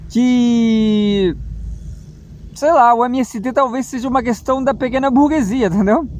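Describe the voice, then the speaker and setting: A man's voice: very high-pitched, a little slow, a little mellow, a little hiss or hum. A man, rambling through a solo political monologue, full of hesitations and restarts. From Brazil. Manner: hesitant, many fillers and restarts. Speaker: political commentator.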